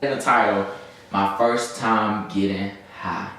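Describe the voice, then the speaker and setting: A man's voice: on the low side, fairly even intonation, measured pace, bright, forceful tone. A young man with a Southern US accent chats casually to camera as a vlogger, in a slangy, rambling, easily distracted way. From Birmingham, Alabama, United States. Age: young adult. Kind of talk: casual story-time chat to camera. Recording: very echoey room, distant mic, faint noise.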